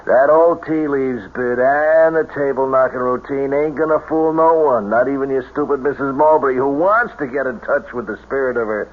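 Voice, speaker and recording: Tinny-sounding blunt, skeptical small-time crook played by an actor. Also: male voice, mid-range voice, normal speed, few pauses, natural voice. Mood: mocking. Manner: slangy, mocking, blunt. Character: gruff, skeptical male lodger, small-time con partner. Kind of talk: old-time radio drama acting. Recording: tinny, band-limited, hissy radio recording.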